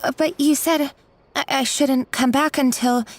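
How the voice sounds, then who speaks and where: An actress voicing a nervous young kitchen worker who stammers and apologizes, in a game cutscene. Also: female voice, high-pitched, steady tone, measured pace, slight background noise. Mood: flustered. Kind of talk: game voice acting.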